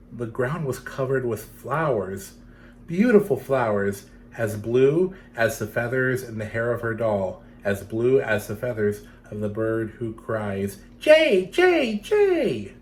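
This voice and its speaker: Male children's storyteller, medium pitch, dramatic careful phrasing, speaking to young listeners over light ambience. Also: unhurried, a little hiss or hum. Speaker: storyteller for children's theatre series. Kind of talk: expressive children's storybook reading.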